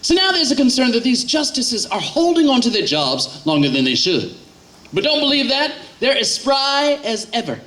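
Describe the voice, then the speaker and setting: A man's voice: very high voice, sing-song, normal speed, sharp tone. A man on a live stage as the troupe's emcee, chatting through a setup and announcing the next act. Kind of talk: live comic stage introduction. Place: outdoor amphitheater stage.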